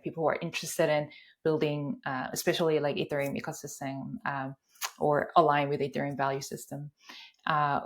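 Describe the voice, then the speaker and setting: A woman guest on a conversational podcast, speaking earnestly and searching for words with many fillers. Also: deep voice.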